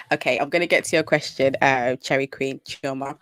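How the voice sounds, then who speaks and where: A woman's voice: very deep voice, a bit bright, no echo. A young adult woman with a British accent, hosting a live Q&A about children's hair care, chatty and upbeat, greeting viewers by name. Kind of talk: live stream chat with viewers.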